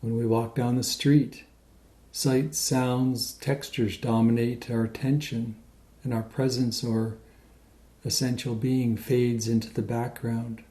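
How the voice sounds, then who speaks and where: A man leading a guided meditation in a gentle, unhurried way with many pauses. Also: medium pitch, level tone. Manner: slow, pausing, gentle delivery.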